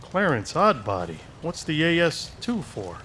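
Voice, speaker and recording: Wisecracking despairing husband,, fairly high pitch, radio play. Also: male voice, wildly swooping pitch, pushed and bright, slight background noise, heavily acted. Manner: fast-talking, wry. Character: desperate, wisecracking everyman husband. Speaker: radio actor. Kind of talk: 1940s radio acting.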